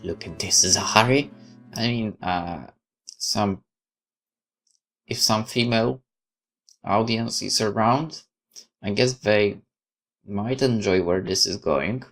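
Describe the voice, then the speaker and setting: A man's voice: lowish voice, takes it slowly, pauses often, a bit bright. A man doing a let's play, reading a game's story text aloud with casual asides. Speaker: game streamer reading aloud. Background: game music.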